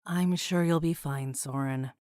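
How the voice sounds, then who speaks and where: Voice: mid-range voice, fairly even intonation, a little slow, a little mellow, no echo, studio quality, lightly acted. A performer playing a composed, reassuring young trainee in an audio drama, polite and formal in phrasing.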